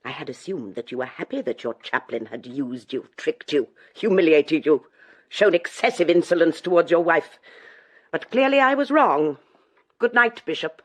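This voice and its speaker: An actress playing a bishop's domineering, scornful wife in a British radio costume drama, with an English accent and cutting sarcasm. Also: female voice, low-pitched, expressive, moderate pace. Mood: scornful, icy.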